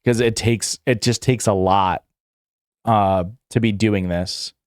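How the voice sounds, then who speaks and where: A man's voice: on the low side, steady tone, slow, no room sound, studio quality. A young adult man streaming live, reading questions aloud and answering in a rambling, casual way full of fillers and restarts. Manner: rambling, filler-heavy, self-correcting, chuckles.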